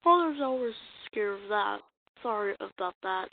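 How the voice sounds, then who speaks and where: A woman acting out comic dialogue as an amateur dub, halting and stumbling over the lines. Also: medium pitch, expressive, creaky. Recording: muffled lo-fi mic with hiss.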